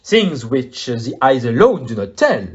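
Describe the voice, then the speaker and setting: A man playing a fussy, courteous middle-aged detective with a French accent and a theatrical, deliberate manner in an audio play. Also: mid-range voice, very animated, muffled recording. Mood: proud, dramatic.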